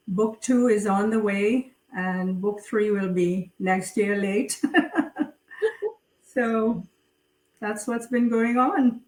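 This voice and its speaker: Slow-speaking woman author, far from the mic. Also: mid-range voice, a little mellow. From Trinidad. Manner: slow, hesitant, many fillers. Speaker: guest author. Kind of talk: interview conversation. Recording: roomy, distant from mic.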